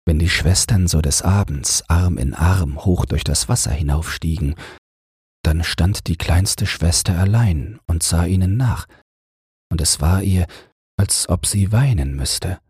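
A man narrates a fairy-tale audiobook meant for bedtime in a very low, heavily husky voice. He takes his time with an unhurried, soothing delivery. The sound is dry, with no room echo. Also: a little mellow.

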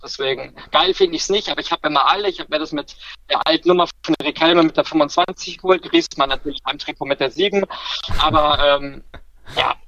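A man's voice: on the high side, expressive, brisk, creaky, pushed and bright, dull audio. A man chatting casually as a guest on a football podcast, rambling with self-corrections and fillers. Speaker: guest fan commentator.

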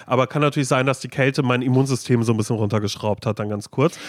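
A man's voice: mid-range voice, fast talker, dry recording. Man, stuffy nose from a cold, nasal, rambling and jokey, dry mic. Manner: joking, rambling, laughs often. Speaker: podcast co-host. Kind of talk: casual chat.

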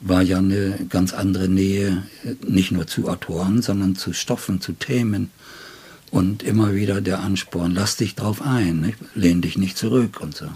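Older man with a deep, husky voice. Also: steady tone, normal speed, mellow. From Germany. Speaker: poet and interviewee. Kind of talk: reflective interview talk and poetry reading.

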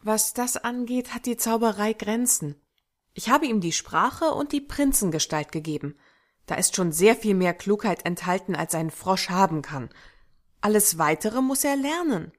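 A woman playing a helpful, level-headed fairy in a children's fairy-tale audio play, measured and matter-of-fact. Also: mid-range voice, lively intonation, natural voice. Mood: sober.

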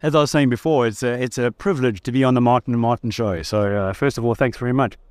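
A South African man with a smooth, clear voice of middle pitch, answering questions at a trade fair stand. He sounds easygoing and thoughtful, with the odd light joke. The sound is close and dry. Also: fast, a little mellow, no echo. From South Africa (Durban). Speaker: guest. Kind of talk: conversational interview.

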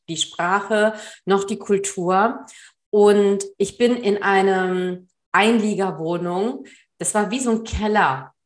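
A woman from Germany, a trainer, telling her personal story as an interview guest. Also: medium pitch.

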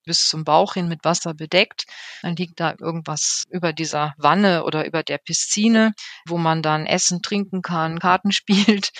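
A woman's voice: fairly low pitch, fairly bright tone, no echo. A woman speaking as an expert in an interview, explaining off the cuff with informal asides. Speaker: expert interviewee, medieval historian. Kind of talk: spontaneous explanatory interview talk.

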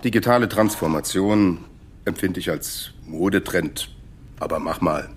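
A man's voice: low voice, unhurried, husky. An actor playing a self-satisfied, patronising older male boss in a German audio drama. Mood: smug, patronising. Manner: pompous, leisurely condescending.

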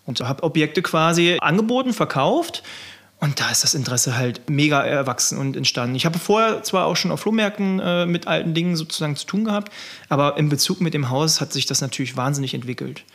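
A man from eastern Germany in his thirties, telling his story in a relaxed, chatty interview with many fillers. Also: a little high, brisk. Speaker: manor house owner. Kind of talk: casual interview.

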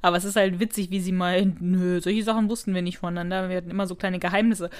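A woman's voice: on the low side, fairly even intonation, fast. A woman co-hosting a podcast, talking casually and musing aloud in colloquial phrases. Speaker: podcast co-host. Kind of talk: casual chat reviewing an audio drama.

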